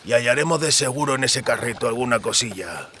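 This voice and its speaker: A man's voice: medium pitch, expressive, fast talker, bright, forceful tone, slight background noise. A man voicing a sly, gloomy ruffian with an insinuating, lugubrious manner in an audiobook. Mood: scheming. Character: sly, gloomy male ruffian eager to rob.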